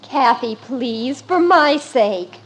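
An actress playing a melodramatic, lovesick American teenage daughter with plaintive delivery in a 1950s family radio comedy. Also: female voice, sits high, expressive, extremely slow, breathy edge, full character voice. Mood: anxious. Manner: plaintive, overwrought. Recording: noisy old radio broadcast recording.

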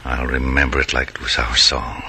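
An actor playing a cold, smooth-talking male schemer in an old radio crime drama, sly and menacing. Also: very deep voice, little pitch movement, moderate pace, sharp tone, exaggerated voice. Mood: scheming. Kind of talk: 1940s-50s radio crime drama acting. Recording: old radio recording, faint noise.

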